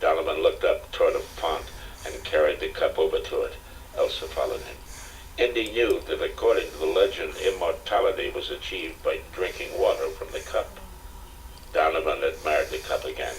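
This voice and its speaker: A man's voice: a bass voice, moderate pace. A man giving dramatic scripted narration of a story as an audiobook narrator. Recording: distant, noisy cassette audiobook recording.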